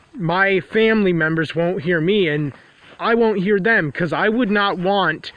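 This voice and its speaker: A man's voice: high-pitched, fairly bright tone. A man with a nasal, congested voice, talking outdoors in the cold in a rambling vlog monologue that keeps circling back to restate itself. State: congested from a runny nose. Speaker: vlogger.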